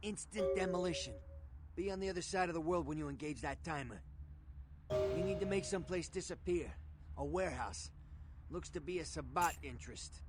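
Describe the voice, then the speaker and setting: Actor as a higher-pitched streetwise fixer. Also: male voice, slight background noise, close to an everyday voice. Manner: gruff slangy tough-guy talk, matter-of-fact. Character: streetwise shady male fixer and weapons dealer. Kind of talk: video game dialogue acting.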